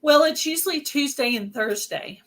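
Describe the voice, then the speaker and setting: A woman's voice: sits high, animated, normal speed, fairly bright tone. A woman hosting a casual livestream chat, talking in a chatty way with lots of filler words. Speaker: host.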